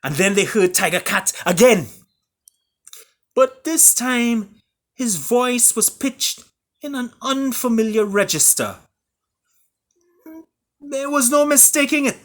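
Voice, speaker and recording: A man reading and retelling a fable as its author, with dramatic pauses and emphatic delivery. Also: very high-pitched, lively intonation, fairly bright tone. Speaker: author reading his own book.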